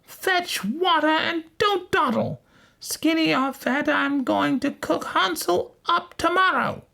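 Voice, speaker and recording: A storyteller voicing a wicked old witch from a fairy tale, scolding and bossy, with shrill, drawn-out commands. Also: creaky, pushed and bright, exaggerated voice. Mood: harsh, commanding.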